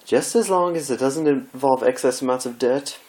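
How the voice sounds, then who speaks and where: A man's voice: medium pitch, normal speed, a little mellow, voice pushed up, natural voice. A man voicing a dramatic, fussing, refined female pony from a cartoon fan story, with a gushing, theatrical manner. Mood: earnest, prim. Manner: theatrical, gushing, prim wording.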